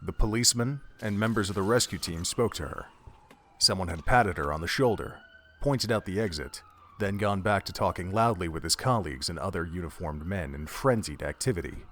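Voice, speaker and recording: A man's voice: sits low, brisk, a bit bright, a little hiss or hum. A man reading dramatic scripted narration for an audio drama, in the manner of an audiobook.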